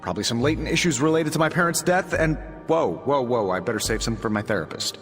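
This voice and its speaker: A man's voice: mid-range voice, expressive, fast, close to an everyday voice. A man playing a cocky, defensive genius hero in a video game, sarcastic and flippant. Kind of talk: video game cutscene acting. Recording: long reverb, music behind.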